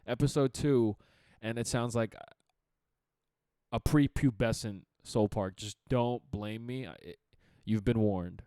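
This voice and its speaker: A young man with a deep, radio-style voice co-hosts a relaxed podcast, talking in animated bursts. His tone is low and smooth, recorded close and dry with no room sound. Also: takes it slowly, pauses often, dry recording. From Chicago area. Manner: animated, laughs often, talks in bursts with restarts. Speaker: podcast co-host. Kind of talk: casual podcast chat.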